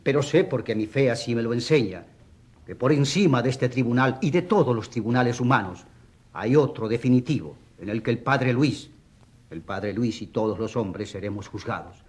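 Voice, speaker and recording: An actor playing an eloquent defence lawyer in a courtroom radio drama, rhetorical and emphatic, with sardonic courtesy. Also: male voice, mid-range voice, normal speed, darkish tone, slightly rough, natural voice. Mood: sardonic, triumphant. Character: eloquent, ironic defence lawyer for a rural priest.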